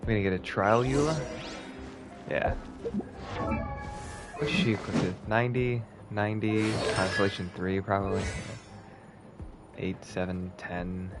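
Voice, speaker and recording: A man with a very low voice commenting on a video game he is streaming. He is casual and blunt and swears freely, with loud game music behind him. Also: fairly even intonation, unhurried, pauses often. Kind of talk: gameplay commentary.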